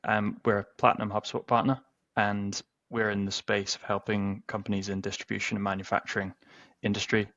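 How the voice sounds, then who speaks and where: A man, a webinar guest, explaining his work in a measured way over a video call. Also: on the low side, little pitch movement, a bit bright, no echo, dull audio. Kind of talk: casual webinar chat.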